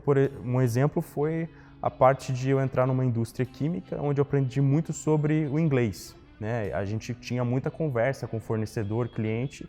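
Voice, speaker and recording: A young man speaks at a middle pitch in a casual, reflective way, often tagging his sentences with "né". His voice trails with a long reverb, and faint music plays behind him. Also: mellow. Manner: casual, reflective, many fillers like "né". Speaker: guest, economics graduate. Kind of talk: interview answers.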